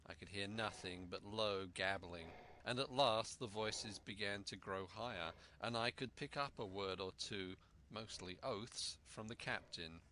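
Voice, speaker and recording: Male narrator for adventure fiction: expressive scripted reading, lowish bright voice, slightly noisy recording. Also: unhurried. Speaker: storyteller, audiobook reader.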